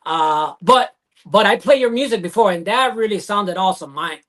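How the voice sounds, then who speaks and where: A man hosting an online interview show over a video call, effusive and halting with many fillers and restarts. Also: high-pitched, animated, a bit bright. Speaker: show host.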